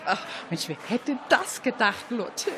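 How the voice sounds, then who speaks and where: A woman's voice: fairly high pitch, big pitch swings, takes it slowly. A woman playing a chatty, gleeful amateur detective, talking colloquially in a radio crime play. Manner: colloquial, gossipy, with folksy tag questions.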